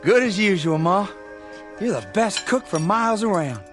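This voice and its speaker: A man's voice: high voice, animated, moderate pace, fairly bright tone, faint music behind. An actor with a rural American accent playing a cocky, folksy young country man in a radio drama. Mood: easygoing, cocky.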